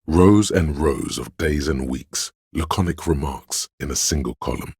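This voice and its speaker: A man with a gravelly, husky voice reading scripted literary narration for a video game in a measured, solemn, deliberate way. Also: extremely low pitch, a little mellow, no room sound, studio quality.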